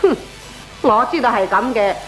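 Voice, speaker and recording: An actress playing an indignant, sharp-tongued middle-aged head tenant in a comic Hong Kong Cantonese radio drama. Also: female voice, on the high side, animated, moderate pace, soft background music, lightly acted, low-quality recording. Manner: indignant, haggling.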